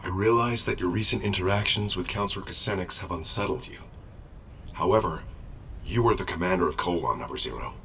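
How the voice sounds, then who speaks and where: Earnest sci-fi game adjutant: low, respectful, measured military delivery, slightly off-mic. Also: male voice, vocal fry, fairly bright tone, distant mic, slight background noise. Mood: earnest, reassuring. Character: loyal, earnest male military adjutant, deferential and dutiful. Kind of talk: scripted game dialogue.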